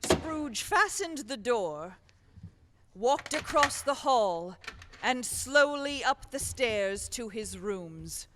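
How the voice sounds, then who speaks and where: Woman acting a slow, animated genteel storyteller. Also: a little high, slight background noise, exaggerated voice. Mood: storytelling. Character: genteel, earnest female charity collector and storyteller. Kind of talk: radio play acting and narration. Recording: echoey hall stage recording.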